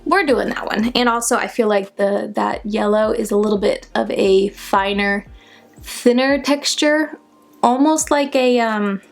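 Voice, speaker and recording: Congested woman with a gravelly edge. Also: medium pitch. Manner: rambling, self-interrupting, soft half-whispered asides. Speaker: beauty vlogger. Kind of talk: casual chat during a makeup tutorial. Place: shaded room at home.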